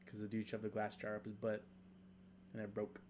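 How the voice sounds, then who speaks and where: A man's voice: on the low side, monotone, a little mellow, a little hiss or hum. Casual, rambling young man. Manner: rambling, halting, many fillers. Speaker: podcast co-host. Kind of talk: casual chat, reading script aloud.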